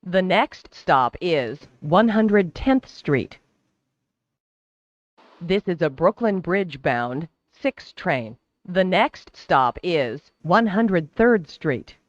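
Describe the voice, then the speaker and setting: A subway announcer reads scripted service announcements slowly and formally. The pitch moves in lively contours while each word is carefully enunciated. The recording is dry and a little dull. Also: no room sound. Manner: formal, measured, clearly enunciated. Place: recorded train public-address announcement.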